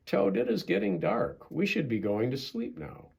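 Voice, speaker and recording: A man voicing a kind, calm frog in a children's storybook, agreeable and gently encouraging. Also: on the high side, moderate pace, creaky, breathy edge, voice pushed up, full character voice. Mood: gentle.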